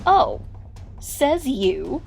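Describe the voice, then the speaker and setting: A woman's voice: high voice, very animated, extremely slow, gravelly, darkish tone, faint music behind, full character voice. A woman voicing a playfully cruel magical fox trickster in an audio drama, with a taunting, theatrical drawl. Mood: sly, dismissive. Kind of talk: fan audio drama acting.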